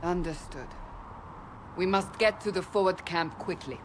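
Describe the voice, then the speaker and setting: Woman acting a stern, slow-spoken warrior. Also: on the low side, lightly acted. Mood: grave, firm. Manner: curt, grave, measured. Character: stern, commanding woman warrior, a holy seeker. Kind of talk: dramatic fantasy game acting. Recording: clearly noisy outdoor game ambience.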